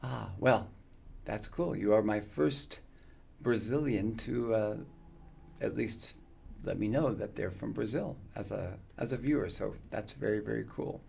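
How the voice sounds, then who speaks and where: A man in his fifties, chatting casually and warmly with viewers on his live gaming stream, rambling with many fillers. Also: on the low side, unhurried, gravelly, a little hiss or hum. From United States. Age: 50s.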